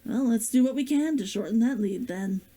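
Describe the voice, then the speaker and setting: A woman author and streamer reading her novel aloud and chatting with viewers in a gentle, expressive way. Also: a little high, moderate pace. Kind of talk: expressive storybook read-aloud and chat.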